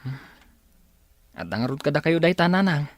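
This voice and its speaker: A man's voice: a little high, big pitch swings, moderate pace, no room sound. A man acting an earnest, respectful young son in an Ilocano radio drama. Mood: surprised, dutiful. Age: young adult.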